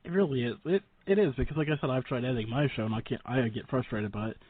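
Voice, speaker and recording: Fast-talking man, dull lo-fi radio audio. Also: mid-range voice, no echo. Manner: chatty, informal, colloquial phrasing. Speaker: paranormal radio show and podcast host. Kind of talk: casual interview chat. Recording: dull, band-limited radio call audio.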